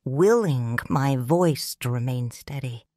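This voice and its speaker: A woman narrating a first-person audiobook story in a measured, solemn and expressive way. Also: extremely low pitch, no room sound, studio quality.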